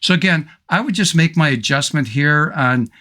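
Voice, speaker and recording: A middle-aged man teaching photo editing over a screen recording, slightly hoarse and thinking aloud with relaxed ums and restarts. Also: a little high, normal speed. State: slightly hoarse, clearing throat. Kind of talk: screen-recorded software tutorial.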